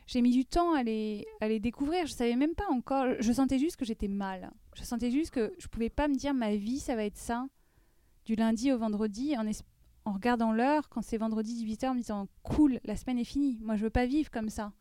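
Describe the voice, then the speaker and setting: A woman's voice: on the high side, a little fast, dark tone, dry recording. A woman in her thirties telling a personal story as an interview guest, hesitant with frequent restarts and fillers.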